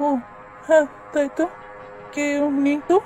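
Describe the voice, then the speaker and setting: A man's voice: very high voice, monotone, unhurried, a little mellow. Jumpy guilty husband guy, acted by a man, repeats himself, spooky cartoon with music under. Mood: frightened, uneasy. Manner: anxious, exclamatory, repeats words. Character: guilty, jumpy married male writer in ghost story. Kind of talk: horror cartoon voice acting. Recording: music behind the voice.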